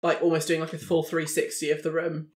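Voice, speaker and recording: A woman's voice: deep voice, completely flat delivery, fairly quick, darkish tone. A woman playing a tabletop roleplaying game, talking casually and a little tentatively.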